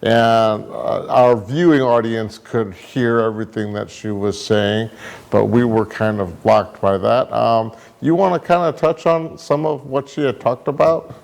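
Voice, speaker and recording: A man hosting a talk show, asking a guest questions in an unhurried, hesitant way. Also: medium pitch, fairly even intonation, creaky, darkish tone. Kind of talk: casual interview questions.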